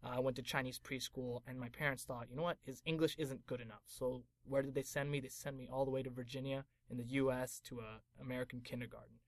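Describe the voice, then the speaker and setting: A man's voice: mid-range voice, flat delivery, fast, hardly any pauses, no room sound. A man from Taiwan who works as an actor, chatting casually as an interview guest and laughing easily.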